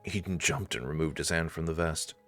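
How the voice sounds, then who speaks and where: A man's voice: very deep voice, a little fast, a bit bright, faint music behind. A man narrating a visual novel playthrough expressively, with casual asides.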